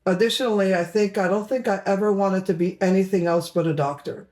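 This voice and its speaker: Woman, middle-aged, from Belgium, interview guest, casual storytelling, medium pitch. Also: brisk, a little mellow. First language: Flemish. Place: indoor home room, video call.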